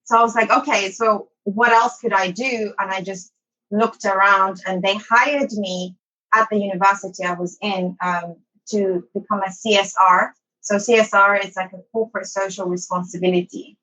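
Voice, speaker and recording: A woman's voice: mid-range voice, steady tone, normal speed, bright, forceful tone, poor audio. A woman from Somalia, an English teacher, telling her life story as an interview guest, hesitant with many ums and restarts.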